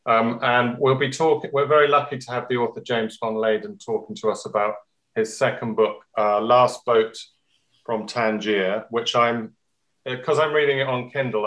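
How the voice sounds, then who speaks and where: A man's voice: medium pitch, fairly even intonation, normal speed, fairly bright tone. A man opening and introducing a meeting over a video call, chatty with uhs and restarts. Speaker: meeting host.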